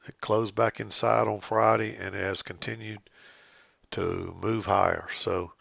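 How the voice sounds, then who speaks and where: Hoarse Southern man with a gravelly voice. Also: on the low side, a little slow, no room sound. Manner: calm, methodical, many ums and uhs. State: slightly hoarse, stuffy. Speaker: trading educator. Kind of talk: day trading chart recap. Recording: dry, slightly dull audio.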